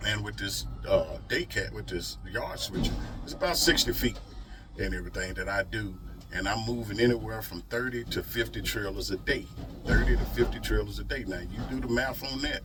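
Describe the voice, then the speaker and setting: A man's voice: on the low side, moderate pace, far from the mic, background noise. A middle-aged man talks casually to his vlog audience in a folksy, emphatic way, repeating phrases for effect. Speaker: truck driver vlogger.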